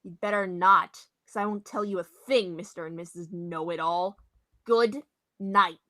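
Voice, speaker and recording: A woman's voice: on the low side, moderate pace, fairly bright tone, close to an everyday voice. A woman playing a nosy, bossy, know-it-all hotel attendant in an old-time radio comedy mystery, with a brash, exclamatory manner. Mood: huffy.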